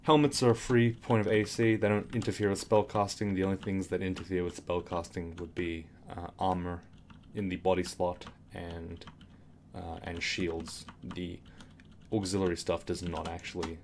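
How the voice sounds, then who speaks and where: A man with a slightly raspy voice giving casual commentary on a game tutorial, rambling with fillers and restarts, keyboard clicks behind him. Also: low voice, little pitch movement, moderate pace, background noise.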